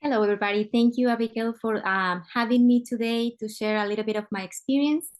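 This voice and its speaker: A woman's voice: a little high, fairly even intonation, fast talker, a little mellow. Guatemalan woman presenting on a webinar. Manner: frequent "uh" fillers, conversational. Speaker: guest speaker, medical interpreter. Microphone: computer mic on a video call.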